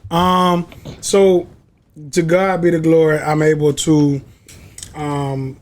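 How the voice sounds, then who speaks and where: A young man with a somewhat high voice joins a podcast as a guest. He cuts in with short, punchy remarks and speaks with energy. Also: level tone, slow. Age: 20s. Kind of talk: casual podcast chat.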